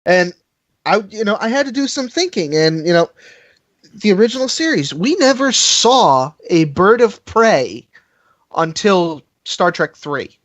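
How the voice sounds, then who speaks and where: Podcast panelist, animated intonation, opinionated and explanatory in casual fan talk, dry close recording. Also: mid-range voice, a little slow, no echo.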